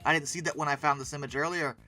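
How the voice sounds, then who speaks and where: An expressive, emphatic host giving casual reaction commentary, often breaking into exclamations. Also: mid-range voice, very fast, faint music behind. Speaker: host of a paleoart commentary channel.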